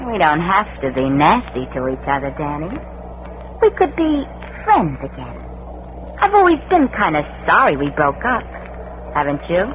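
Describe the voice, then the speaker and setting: A woman's voice: deep voice, huge pitch swings, normal speed, long pauses. An American woman playing a hard-boiled, scheming gangster's moll, slangy and calculating, in 1940s radio crime style. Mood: coaxing. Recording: muffled, lightly noisy old radio recording.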